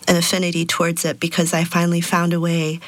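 A woman's voice: on the low side, completely flat delivery, quick pace, no room sound. A woman in her thirties, an artist born in Peru and raised in Texas, answering interview questions in a reflective way with many fillers. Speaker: sound artist and DJ.

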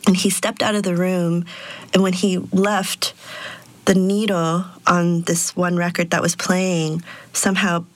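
Low, flat-voiced woman artist. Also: measured pace, no echo. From Peru, raised in Texas. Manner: reflective, frequent fillers. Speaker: sound artist and DJ. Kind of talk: interview answers.

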